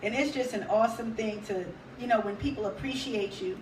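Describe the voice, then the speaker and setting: A woman hosting a radio show, talking in a lively, emphatic, enthusiastic way. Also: a little high, fairly quick, crowd noise. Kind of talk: casual radio interview. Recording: echoey room, distant mic, noise behind.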